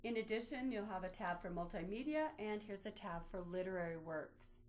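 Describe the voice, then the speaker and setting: A woman's voice: medium pitch, animated, fairly quick, slight background noise. A woman presenting an instructional webinar, explaining things step by step as she walks through a screen demonstration. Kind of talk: instructional screen-share walkthrough.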